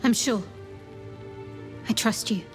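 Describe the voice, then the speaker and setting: Game companion role: young female cleric of a dark goddess, guarded and wry, unhurried phrasing, highish pitch, score underneath. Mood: confiding. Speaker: video game companion character. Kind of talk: video game cutscene acting. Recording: music behind the voice.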